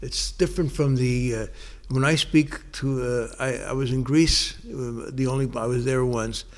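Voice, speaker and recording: An older man talks through old memories in an unhurried way. He keeps circling back with restarts and fillers, and his voice sits in a mid-range pitch. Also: steady tone. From New York, United States. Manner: rambling, many restarts and pauses. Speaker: interviewee. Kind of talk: casual oral-history interview.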